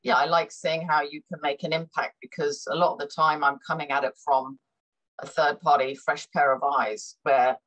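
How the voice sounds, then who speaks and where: A middle-aged woman from Hong Kong speaks in a low, deep voice. She talks through a remote call as an interview guest, and often stalls on fillers and repeated words while she gathers her thoughts. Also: level tone, moderate pace, a bit bright, poor audio. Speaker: business consultant, guest. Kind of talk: conversational interview.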